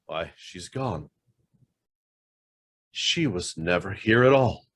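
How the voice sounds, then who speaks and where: A man's voice: low voice, expressive, fairly bright tone, slightly rough, no room sound, full character voice. Man acting a guilt-ridden embezzler, wildly swinging intonation. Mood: bewildered. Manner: dramatic, emphatic, halting confessional delivery. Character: guilt-ridden embezzler on the run, fervent, self-lacerating. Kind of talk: stage-style radio drama acting.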